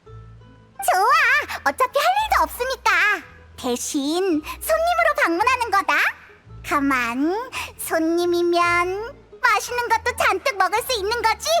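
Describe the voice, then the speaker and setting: Tiny excitable game fairy girl, extremely high squeaky voice, very animated, expressive, loud music behind. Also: unhurried, full character voice. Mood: cheerful. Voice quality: squeaky, childlike. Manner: exclamatory, openly expressive. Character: small excitable, curious, food-loving fairy girl companion. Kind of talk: Korean video game voice acting.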